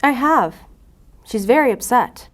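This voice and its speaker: A woman's voice: fairly high pitch, animated, a little slow, a bit bright. An actress playing a shrewd, controlled female investigator in an audio drama, firm and needling. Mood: cool, needling. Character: cool, shrewd female investigator, bluffing and relentless. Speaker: law enforcement agent. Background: music under the voice.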